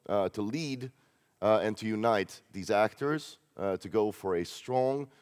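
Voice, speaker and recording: Low, smooth-voiced man speaking in a hall. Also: a little mellow. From Hungary. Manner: earnest, frequent "uh" hesitations. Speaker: candidate giving a speech. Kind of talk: campaign speech. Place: large conference hall. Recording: reverberant conference hall.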